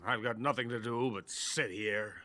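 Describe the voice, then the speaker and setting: An actor playing a drunk, boastful older Soviet weapons scientist in a video game cutscene. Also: male voice, medium pitch, steady tone, moderate pace, pushed and bright, natural voice. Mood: bitter, scheming. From Soviet Union. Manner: pompous, self-important, bitter.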